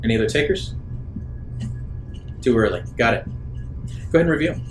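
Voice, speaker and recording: A man narrating an improvised tabletop roleplaying game as game master, in a measured way with hesitant pauses. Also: mid-range voice, lively intonation, faint music behind. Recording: roomy echo, faint background noise.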